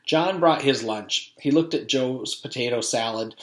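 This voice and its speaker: A man's voice: medium pitch, unhurried. A man reading a children's storybook aloud in the manner of a teacher with a class. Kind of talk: storybook read-aloud narration. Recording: echoey room.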